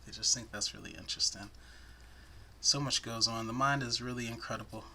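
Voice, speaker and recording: Soothing soft-spoken male crochet teacher. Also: medium pitch, steady tone, a little fast, slight background noise. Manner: soft-spoken, chatty, self-deprecating asides. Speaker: crochet tutorial host. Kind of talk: step-by-step crochet instruction.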